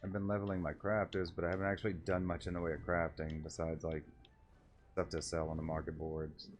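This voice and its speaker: A man giving casual gameplay commentary as a streamer, quietly, half thinking aloud. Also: sits low, completely flat delivery, brisk, soft background music. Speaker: game streamer.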